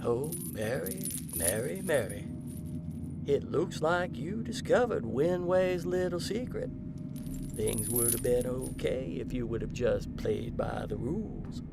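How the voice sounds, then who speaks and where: Slow, gravelly, sinister doctor played by a man. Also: mid-range voice, animated, heavy background noise. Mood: smug menace. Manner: slow, condescending, mock-patient menace. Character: sinister male hospital doctor, smug keeper of werewolf patients. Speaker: actor in an audio drama. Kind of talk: horror audio drama acting.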